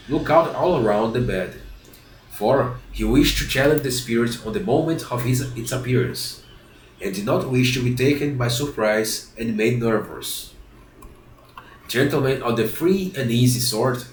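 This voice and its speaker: Stuffy-nosed man reading aloud haltingly. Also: mid-range voice, roomy sound, slight background noise, low-quality recording. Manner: halting, rereads and corrects himself often. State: congested, stuffy nose from a cold. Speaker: reader of a book series. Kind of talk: reading a classic novel aloud, with casual asides.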